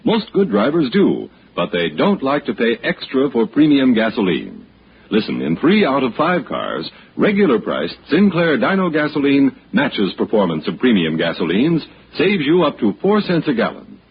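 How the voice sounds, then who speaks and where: An American man announcing and pitching in 1960s radio commercial style. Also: wildly swooping pitch, normal speed. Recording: muffled, hissy old radio broadcast.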